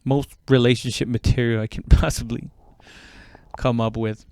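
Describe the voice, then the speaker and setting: Male comic podcaster. Smooth, clean voice, dry close sound, informal halting solo ramble with loose swearing. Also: medium pitch, steady tone, darkish tone, no echo. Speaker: stand-up comedian hosting own podcast. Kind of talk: casual rambling solo chat.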